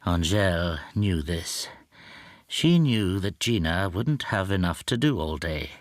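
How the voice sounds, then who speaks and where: An actor in a radio drama playing a meek, anxious middle-aged bachelor, speaking hesitantly and confidingly. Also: male voice, low-pitched, expressive, measured pace, dry recording, studio quality. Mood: subdued, confiding. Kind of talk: radio drama acting with intimate first-person narration.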